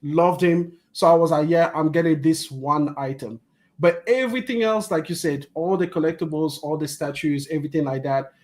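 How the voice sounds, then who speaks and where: Animated, swearing podcast man, higher voice. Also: moderate pace. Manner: animated, swears freely, laughs often. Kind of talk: casual chat.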